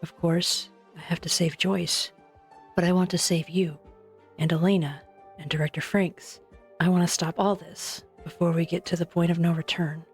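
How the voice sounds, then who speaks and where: Woman acting low-voiced, guilt-ridden researcher. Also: fairly even intonation, moderate pace, soft background music, lightly acted. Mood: resolute. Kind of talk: English fan dub of an anime game.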